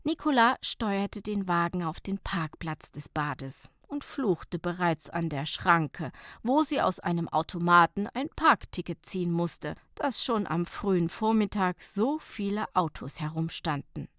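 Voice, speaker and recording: A woman's voice: lowish voice, expressive, a little slow, a bit bright, dry recording, clean studio sound. A woman narrating a light, humorous short story as an audiobook reader, in a scripted reading style.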